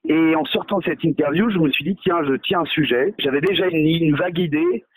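A man's voice: fairly high pitch, brisk, dry recording. A male novelist in a radio interview, talking hesitantly with many fillers and restarts, mocking himself. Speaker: novelist guest.

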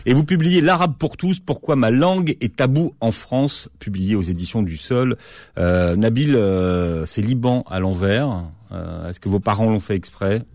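A man with a fairly low voice hosts a radio book program. He questions his guest closely, pushing back and cutting in. His voice sits dry and close to the microphone. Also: unhurried, darkish tone, dry recording. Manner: probing, challenging questions, cuts in. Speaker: radio book show host. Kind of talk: radio interview.

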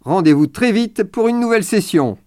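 A man's voice: sits high, animated, normal speed, darkish tone, soft background music. A man hosting an informal radio interview, putting questions to his guest as the interviewer.

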